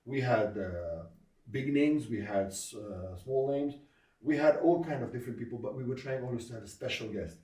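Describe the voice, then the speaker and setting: A man speaking English as a second language, a comedy club founder telling stories in a casual interview, earnest and pausing often on fillers. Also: mid-range voice, dark tone, recorded from a distance. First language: neither English nor Swedish. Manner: hesitant, many filler pauses, earnest. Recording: echoey room, voice off-mic.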